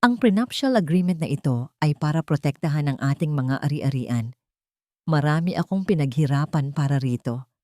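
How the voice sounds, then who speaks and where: A woman voicing a calm, doubtful, wealthy businessman in a narrated story. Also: deep voice, moderate pace, dry recording, studio quality. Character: wary, calm, wealthy businessman, arrogant and shrewd.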